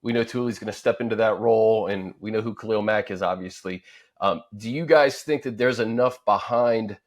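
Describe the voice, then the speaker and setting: A man's voice: on the low side, brisk. A man hosting a sports discussion conversationally, setting up questions for fellow panelists. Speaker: sports talk host.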